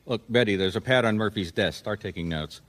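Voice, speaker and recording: An actor playing a patient, wry private detective in a radio mystery, questioning with dry restraint. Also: male voice, on the low side, fairly quick, a bit bright, natural voice. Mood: flustered, placating.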